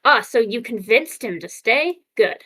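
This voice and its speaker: Sardonic veteran robot medic, read by a woman, on the higher side, blunt and needling, fan comic dub. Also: expressive, unhurried, a bit bright. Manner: dry, needling.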